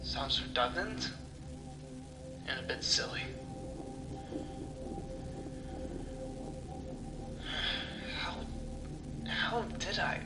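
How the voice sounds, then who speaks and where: A man playing a confused, frightened amnesiac in a horror story, talking to himself in halting questions. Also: medium pitch, natural voice. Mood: confused, groggy. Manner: halting, questioning, sighing self-talk. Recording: echoey sound with music underneath.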